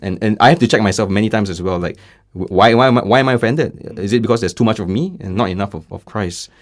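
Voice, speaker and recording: A man's voice: fairly low pitch, fast talker. A man hosting a radio interview in a studio, reflecting thoughtfully and putting questions to his guests. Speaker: radio host. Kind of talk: conversational interview.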